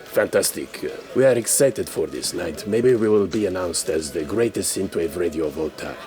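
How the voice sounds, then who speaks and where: A man playing a clueless, self-important European scene celebrity, hesitant and deadpan, in a comedy sketch. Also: low-pitched, normal speed. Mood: proud, hesitant.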